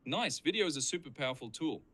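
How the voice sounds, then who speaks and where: A man's voice: fairly high pitch, lively intonation, fast talker, pushed and bright. A male AI assistant voice chatting casually as a helpful business coach.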